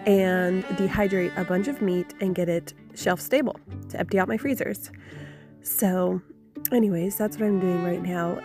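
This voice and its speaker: A woman talks through her day at home as she cooks, her voice a little off from a cold she is getting over. She rambles in a friendly, easygoing way, full of ums and restarts, at an ordinary middle pitch, with soft music playing faintly underneath. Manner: chatty and rambling, with many fillers and restarts. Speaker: homestead vlogger. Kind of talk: casual cooking vlog narration. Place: home kitchen.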